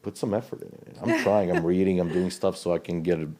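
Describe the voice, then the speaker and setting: A man's voice: low-pitched, steady tone, fast, dark tone. A man from Serbia with a Serbian accent, hosting a casual interview and talking in loose, rambling phrases with frequent restarts.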